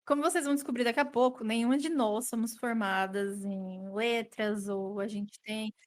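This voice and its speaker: A woman's voice: a little high, fairly even intonation, moderate pace. Wry, teasing woman in her thirties. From São Paulo, Brazil. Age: 30s. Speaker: podcast co-host. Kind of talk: casual podcast chat.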